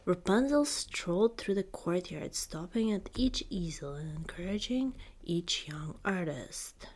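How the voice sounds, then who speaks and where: A woman softly whispering a fairytale as ASMR narration, deliberate and breathy. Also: on the low side, animated. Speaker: storyteller.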